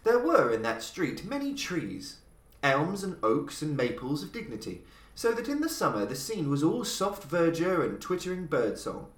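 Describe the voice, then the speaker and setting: A man hosting a podcast and reading a classic horror story in a measured, dramatic narrating style. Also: roomy sound. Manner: measured, dramatic delivery with lively pitch swings. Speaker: podcast host and reader.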